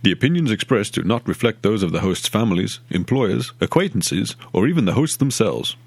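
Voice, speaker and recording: A man's voice: on the low side, expressive, fairly quick, gravelly, husky, no room sound. A man reading a scripted podcast disclaimer in a mock-formal tone. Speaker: disclaimer announcer.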